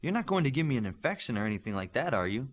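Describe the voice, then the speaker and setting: A man's voice: medium pitch, sing-song, very fast. A man voicing a wisecracking teenage boy superhero trainee in an audiobook, cheeky and dryly humorous. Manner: cheeky, dry humour. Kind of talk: audiobook character voice.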